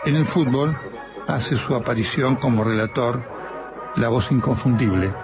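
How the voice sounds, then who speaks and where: A male journalist with an Argentine accent giving a measured, scripted documentary narration. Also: mid-range voice. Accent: Argentine Spanish. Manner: measured, even reading. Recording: slightly dull sound with music underneath.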